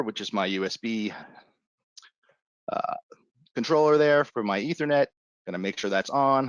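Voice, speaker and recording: A man instructing in an informal, step-by-step way, as in a live software walkthrough. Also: on the low side, no room sound.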